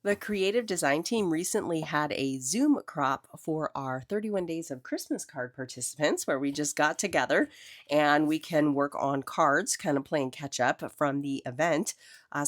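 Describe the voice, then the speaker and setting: A woman's voice: deep voice, no echo, studio quality. A middle-aged woman hosting a casual craft tutorial, chatty and enthusiastic, with a cold. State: has a cold. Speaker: crafting video host.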